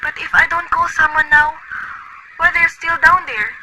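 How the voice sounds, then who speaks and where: A woman playing a demanding, panicked invalid woman in an amateur radio play cover, her voice shrill. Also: flat delivery, normal speed, background noise. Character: frantic bedridden wealthy woman.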